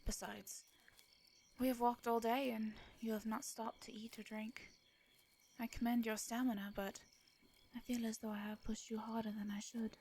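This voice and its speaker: A woman playing a shy, formal vampire in a roleplay audio drama, with an English accent, a soft breathy voice and hesitant, flustered phrasing. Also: on the high side, flat delivery, moderate pace, slight background noise, lightly acted. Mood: formal, considerate.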